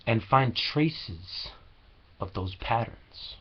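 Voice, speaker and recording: A man reciting slam poetry with earnest, deliberate, dramatic phrasing, on a low-quality home recording. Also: lively intonation, husky, muffled recording. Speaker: slam poet.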